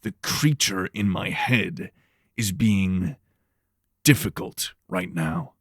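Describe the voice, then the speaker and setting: A man's voice: low voice, animated, takes it slowly, a bit bright, no echo, clean studio sound, heavily acted. A man playing a weary, irritable supernatural detective with terse, weighty delivery in an audio drama. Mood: irritated.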